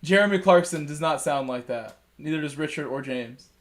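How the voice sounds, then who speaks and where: A man's voice: on the high side, moderate pace. A man with a Connecticut accent streaming a racing game, chatting casually and laughing with his viewers. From Connecticut, USA. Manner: chatty, laughs easily, talks to chat mid-race. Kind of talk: casual live-stream chat while racing.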